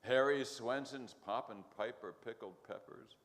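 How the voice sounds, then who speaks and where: A man's voice: mid-range voice, normal speed, fairly bright tone, natural voice. A man playing an earnest, nostalgic co-commentator, solemnly grandiose about trivia, in a live radio comedy. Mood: nostalgic, grand. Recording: reverberant hall stage recording.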